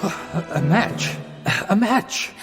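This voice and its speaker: An actor playing a hapless, apologetic young English student in a radio drama, hesitant, literal-minded and politely dithering. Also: male voice, sits high, animated, slow pace. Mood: nervous, apologetic. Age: young adult. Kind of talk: 1920s-set radio drama acting. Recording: long echoing reverb with music underneath.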